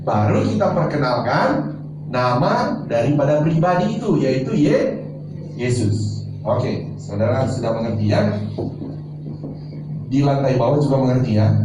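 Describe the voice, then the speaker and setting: A man preaching a sermon as pastor, teaching and putting questions to the congregation. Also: medium pitch. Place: large church hall. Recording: echoey church, far from mic, noisy.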